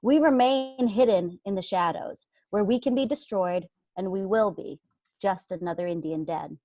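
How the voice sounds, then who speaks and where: A woman's voice: mid-range voice, moderate pace, mellow, no room sound. A woman actor giving a solemn, dramatic reading of a written memorial piece.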